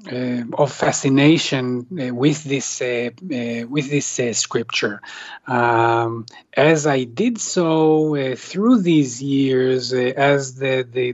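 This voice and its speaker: A man whose first language is Hebrew speaks slowly and with many pauses. He fills his reflective answers with ums and uhs. The recording is dry and dull, like lo-fi audio. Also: mid-range voice, dry recording. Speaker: translator, guest. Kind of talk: hesitant interview answers.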